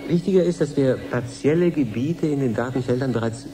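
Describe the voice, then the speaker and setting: An actor playing a matter-of-fact professor in a radio drama, explaining precisely and with self-assurance. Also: male voice, medium pitch, a little fast, noisy background, close to an everyday voice. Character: matter-of-fact male professor running an experiment. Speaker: scientist. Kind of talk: 1980s German radio drama.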